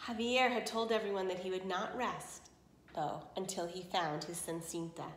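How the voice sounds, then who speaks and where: A woman reading a children's story aloud expressively, pausing to put questions to listeners and explain words. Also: on the low side, fairly even intonation, echoey room, slight background noise. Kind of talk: expressive read-aloud narration with asides to listeners.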